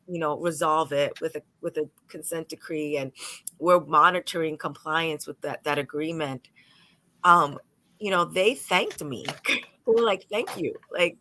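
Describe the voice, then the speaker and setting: A woman lawyer from New York speaking on a panel, telling stories animatedly and acting out what people said. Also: lowish voice. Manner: animated storytelling, acts out quotes. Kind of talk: panel discussion.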